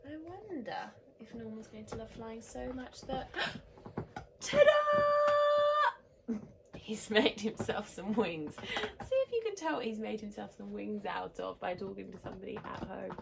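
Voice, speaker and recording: A teacher-voiced woman reading a bedtime picture book, her melody swinging widely as she slows for effect. Soft, hushed asides to her young listeners sit over a steady background hiss. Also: fairly high pitch, creaky. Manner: animated and expressive, with soft asides. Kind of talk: picture-book read-aloud to children.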